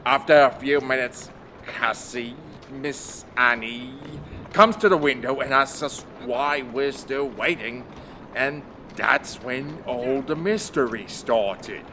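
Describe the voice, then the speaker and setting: A man's voice: medium pitch, slow pace, bright, forceful tone, slight background noise. A man playing a deferential coachman in a period mystery audio play, telling things plainly and matter-of-factly. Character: plain-spoken male coachman servant, deferential. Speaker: actor in audio drama.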